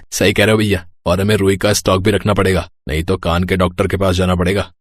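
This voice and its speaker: Easygoing adult son, played by a man, lowish voice, wry and casual, dry recording. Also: flat delivery, fairly quick, no room sound. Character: easygoing adult son joking about household quarrels.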